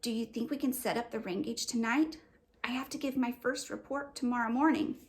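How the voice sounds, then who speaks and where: A woman's voice: a little high, fairly even intonation, a little fast, natural voice. Woman reading as a subdued, anxious young schoolgirl. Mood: hopeful. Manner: subdued, mumbling, explaining earnestly. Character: young schoolgirl Julie, anxious, quiet, bookish about weather. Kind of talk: children's chapter book read-aloud.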